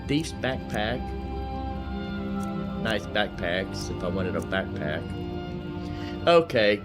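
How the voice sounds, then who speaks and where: A man's voice: fairly low pitch, big pitch swings, takes it slowly. A man hosting a gaming video, giving casual, rambling commentary as he thinks aloud while playing. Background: game music.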